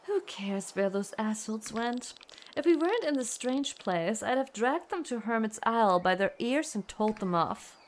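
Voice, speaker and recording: A woman's voice: a little high, animated, normal speed, slight background noise, natural voice. Woman acting a bossy, scolding adventurer. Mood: scornful, irritated. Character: bossy, irritable adventurer in a fantasy game. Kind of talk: dramatic game dialogue read aloud. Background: music.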